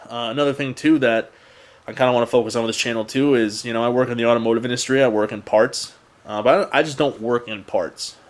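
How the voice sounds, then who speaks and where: A young man with an average-pitched voice talks to his viewers as the host of a car channel. His delivery is casual and unscripted. He rambles a little in a conversational way, as in an everyday vlog.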